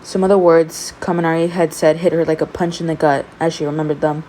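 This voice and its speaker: A woman reading fan fiction aloud in an expressive amateur storytelling style. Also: sits low, quick pace, slight background noise, low-quality recording.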